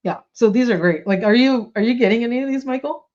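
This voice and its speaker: A woman's voice: on the high side, fast, a little mellow, highs cut off. Chatty woman with a slight cold. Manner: chatty, bubbly, lots of likes and ums. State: coming down with a cold. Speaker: toy news podcast co-host. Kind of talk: casual chat.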